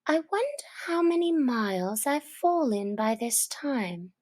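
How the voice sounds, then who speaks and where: Chatty curious young girl a lady reads out, musing to herself, high and unhurried. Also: big pitch swings, darkish tone. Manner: thoughtful, wondering musing to herself. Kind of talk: storybook character voice.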